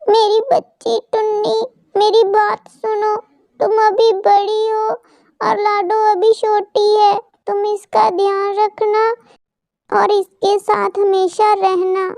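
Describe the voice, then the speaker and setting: A woman voicing a gentle, dying mother bird in a children's cartoon, speaking in an earnest, pleading and solemn way. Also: extremely high pitch, monotone, takes it slowly, creaky, no echo, clean studio sound, exaggerated voice. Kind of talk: Hindi children's cartoon dialogue.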